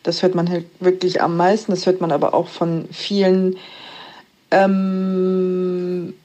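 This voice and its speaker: A woman's voice: on the low side, level tone, takes it slowly, warm tone. A woman in her thirties from Germany, chatting on a podcast in a plain, matter-of-fact way. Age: 30s. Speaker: podcast guest. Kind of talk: casual podcast chat.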